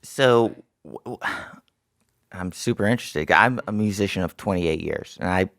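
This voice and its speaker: A man hosting a podcast in casual chat, effusive and animated in the way he talks. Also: deep voice, moderate pace, vocal fry, dry recording, studio quality. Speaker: podcast host.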